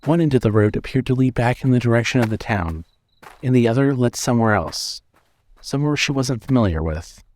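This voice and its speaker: A man's voice: medium pitch, expressive, fairly quick, darkish tone, a little hiss or hum. A man reading scripted suspense fiction as a narrator.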